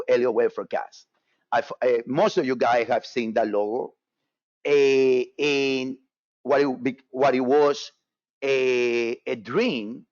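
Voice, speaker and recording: A man's voice: medium pitch, no room sound. Cuban-born man hosting a webinar, dull audio. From Cuba. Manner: casual, repetitive, filler-heavy with many restarts. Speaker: trading webinar presenter. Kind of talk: live webinar talk.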